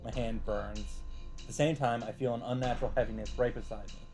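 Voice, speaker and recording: A male let's play host with a medium-pitched voice. He reads visual novel text aloud in a dramatic narrating manner and drops in casual commentary. Faint music plays underneath. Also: steady tone, brisk, a little mellow.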